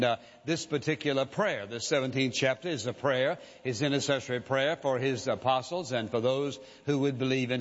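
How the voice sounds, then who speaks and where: A pastor delivering a sermon, speaking in a measured way and slowing down to stress key words. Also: male voice, medium pitch, fairly bright tone. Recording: dull lo-fi recording with long reverb.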